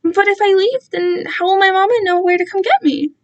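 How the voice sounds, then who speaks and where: A woman's voice: very high voice, a little fast, muffled recording, voice pushed up. A woman voicing an innocent, obedient captive boy in a story, polite and timid like a child. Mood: innocent, confused.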